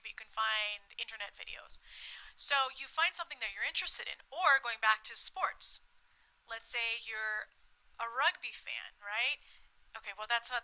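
A woman's voice: fairly high pitch, low-quality recording. A woman in her thirties whose first language is Russian, speaking animatedly as a panelist into a table mic in a conference room. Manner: animated, talks with her hands. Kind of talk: panel discussion. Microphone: table mic on stand.

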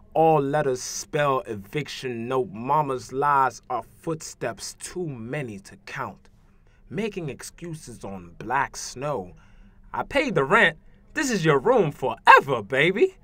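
Intense teenage boy slam poet, acted by a man, animated. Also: mid-range voice, takes it slowly, heavily acted. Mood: hurt, bitter. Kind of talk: slam poetry recital.

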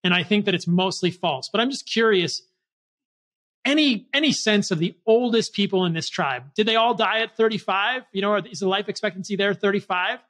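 Man, forties, podcast host, notably high voice, conversational delivery, close desk microphone. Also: brisk, a bit bright. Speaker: doctor. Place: small home office, indoors.

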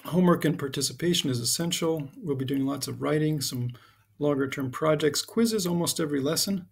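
Casting: middle-aged American male schoolteacher, slightly high voice with a gravelly edge, halting informal explainer. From United States (Connecticut and Southern California). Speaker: high school history teacher. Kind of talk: informal recorded class introduction over slides.

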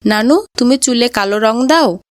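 A woman's voice: on the high side, animated, moderate pace, no echo, clean studio sound, lightly acted. A woman voicing an earnest, polite young grandchild in a cartoon moral story. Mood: puzzled.

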